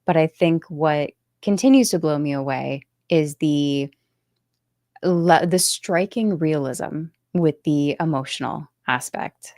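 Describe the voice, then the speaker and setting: Woman with a low voice chatting casually as a book show host, pretty dry close sound. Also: takes it slowly, a little mellow, no room sound. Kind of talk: casual book chat interview.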